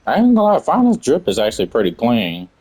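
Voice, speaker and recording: A man's voice: mid-range voice, wildly swooping pitch, fast talker, darkish tone, breathy edge. A young man giving casual, blunt, slangy commentary over a game playthrough. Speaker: gaming streamer.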